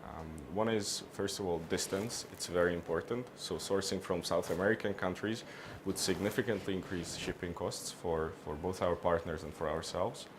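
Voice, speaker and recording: Low-voiced man, halting delivery. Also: background noise. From Hungary. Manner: measured, halting, restarts phrases often. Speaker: interviewee, business consultant. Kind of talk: on-camera business interview.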